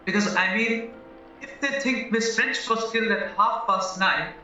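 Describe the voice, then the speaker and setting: An actor as an eager, high-voiced accused young man. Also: measured pace, sharp tone, heavily acted. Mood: anxious, pleading. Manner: earnest, rambling, protesting. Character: naive, eager, anxious married man accused of murder. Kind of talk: stage-style audio drama acting. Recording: very echoey, muffled, distant, music underneath.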